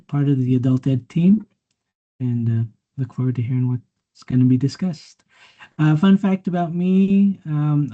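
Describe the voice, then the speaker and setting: A man introducing himself on a work video call in a relaxed, laid-back way. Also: mid-range voice. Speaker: adult education team member. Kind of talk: casual introduction. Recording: dull lo-fi audio.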